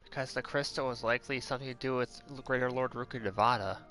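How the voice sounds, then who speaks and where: Casual male streamer, bright tone, gameplay commentary, soft game music underneath. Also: mid-range voice, fairly even intonation, fast. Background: game music and dialogue.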